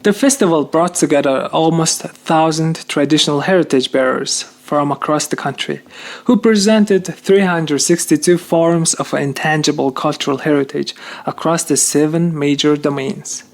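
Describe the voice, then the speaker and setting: A man's voice: a little high, normal speed. A man presenting a read news bulletin over a shortwave radio broadcast, reading carefully with some slips in phrasing.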